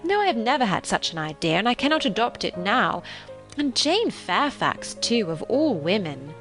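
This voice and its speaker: An animated young gentlewoman from a period novel, acted out by a woman reader, spirited and sure of her opinions. Her phrasing is formal and emphatic, swinging widely in pitch, with music playing underneath. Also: moderate pace, low-quality recording. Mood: indignant, protesting.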